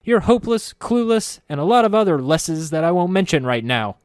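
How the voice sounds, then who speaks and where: A bubbly, eager-to-please young woman, played by a man reading a storybook, whose voice sits far above his usual one and rings out louder. Her lines leap up and down with breathless sweetness. The sound is dry and close. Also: a little high, very animated, fairly quick, dry recording, voice pushed up. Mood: mock furious. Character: perky, sweet-natured young woman who hates being mean.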